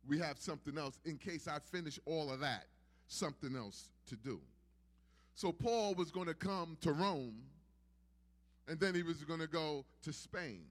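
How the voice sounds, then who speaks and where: A man preaching a sermon in an emphatic, repetitive preaching cadence. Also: a little high. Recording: long hall reverb.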